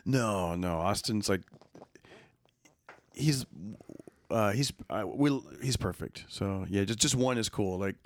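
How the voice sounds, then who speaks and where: A man's voice: fairly low pitch, slow pace, long pauses, no room sound. A man co-hosting a podcast in casual chat, easygoing, joking and laughing often, with many fillers. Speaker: podcast co-host.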